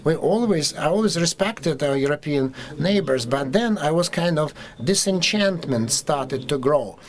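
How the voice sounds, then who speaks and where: A Russian man with a Russian accent, speaking as a panelist at a conference discussion, halting and full of fillers and restarts. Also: a little high, animated.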